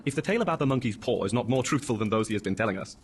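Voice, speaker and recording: A man's voice: medium pitch, expressive, very fast, a little hiss or hum, lightly acted. A man playing a flippant young son who teases with mock drama in a horror audio reading. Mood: teasing. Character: cheeky young adult son, teasing his parents.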